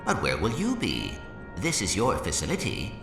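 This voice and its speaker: An innocent, well-mannered little robot from a video game. The speech is formal and earnest, mid-pitched but very lilting, echoing in a large hall with music. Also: male voice, huge pitch swings, moderate pace, creaky, exaggerated voice. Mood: curious, earnest. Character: polite, curious, innocent small robot. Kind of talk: video game cutscene acting. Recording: reverberant hall, loud music behind.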